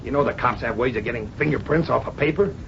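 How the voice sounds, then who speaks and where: A man playing a scheming American crook in an old radio crime serial. He is bossy, suspicious and impatient, snapping out curt slang in a middle-pitched voice. The sound is muffled under heavy noise. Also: fast talker, gravelly, lightly acted. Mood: stern, accusing. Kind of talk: 1930s-40s radio drama acting. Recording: muffled, noisy vintage radio recording.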